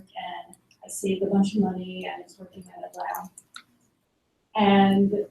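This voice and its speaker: Young woman from Sweden, flat gravelly delivery, distant mic in an echoey hall. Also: medium pitch, slight background noise. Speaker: photographer. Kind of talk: personal talk about her career.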